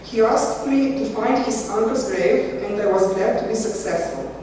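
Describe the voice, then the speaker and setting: A woman's voice: mid-range voice, normal speed, mellow, a little hiss or hum. Slovenian woman speaking in an echoey hall. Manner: hesitant, many fillers and restarts. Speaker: conservator giving a conference talk. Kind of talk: conference presentation with slides. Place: conference hall. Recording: echoey hall heard from far off.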